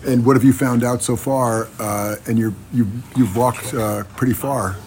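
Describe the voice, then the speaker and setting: A man working as a radio host, interviewing pilgrims outdoors in a small village, asking short questions between many pauses. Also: mid-range voice, steady tone, crowd noise. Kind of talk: field interview on a walking trail.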